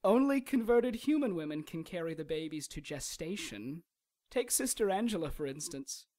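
An actor playing a sinister, gloating troll villain in a radio drama, mocking and courteous with drawn-out menace. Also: medium pitch, animated, mellow, close to an everyday voice. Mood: smug scheming.

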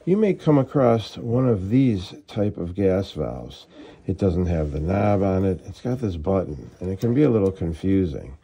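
A man working as a heating technician, explaining a furnace repair step by step in a relaxed, plain-spoken way, recorded on a handheld phone beside a gas furnace. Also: deep voice, moderate pace, background noise. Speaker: HVAC technician. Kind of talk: casual how-to explanation. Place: utility room at a gas furnace.

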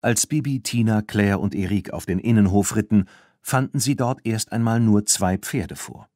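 Male children's audiobook narrator, lowish pitch, expressive storytelling, dry studio sound. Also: normal speed, no echo.

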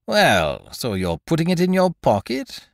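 Storybook gentleman thief, acted by a male reader: exuberant, mocking, boastful, hugely animated pitch, dry close sound. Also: unhurried, vocal fry, dry recording, heavily acted. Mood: gleeful teasing. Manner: mocking, theatrical, peppered with rhetorical questions. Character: gentleman thief, teasing, exuberant, boastful, playfully condescending to youngster. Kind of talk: dramatised audiobook character dialogue.

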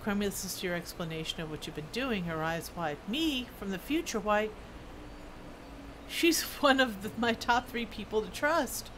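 A woman game streamer casually commenting on her play and reading quest text aloud, musing and halting as if to herself. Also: mid-range voice, lively intonation. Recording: clear game ambience and noise. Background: video game ambience.

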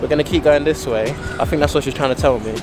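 A man's voice: medium pitch, very fast. A young man with a London accent, chatting and joking on a casual vlog with his girlfriend. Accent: London English. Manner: joking, slangy banter. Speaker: vlogger. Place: car and city streets. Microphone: handheld camera. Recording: loud background music.

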